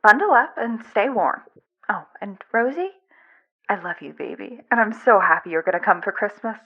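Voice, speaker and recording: A woman's voice: medium pitch, lively intonation, moderate pace. A woman voicing a doting, effusive mother on a phone call in an audio drama. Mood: affectionate, excited. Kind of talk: audio fiction acting. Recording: dull, band-limited phone-call sound.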